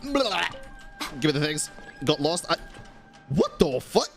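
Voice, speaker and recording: A man's voice: fairly high pitch, big pitch swings, measured pace, creaky, over music. A man giving casual live gameplay commentary as a streamer, reacting in slangy, sweary language. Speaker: game streamer.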